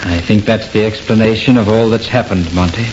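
A man's voice: on the low side, steady tone, brisk, close to an everyday voice. A man playing a grave, decisive investigator of the uncanny in an old radio horror play. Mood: solemn. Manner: decisive, serious. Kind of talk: 1930s radio horror acting. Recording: muffled, hissy old radio recording.

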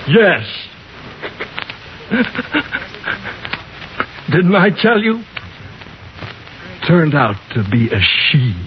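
A wounded, haunted American man in a 1950s radio horror play. He speaks slowly and haltingly, overwrought and desperate with fever. The old recording is muffled, with a faint hiss. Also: fairly high pitch, big pitch swings. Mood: feverish, insistent. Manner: halting, overwrought, with bitter laughter. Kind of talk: 1950s radio drama acting.

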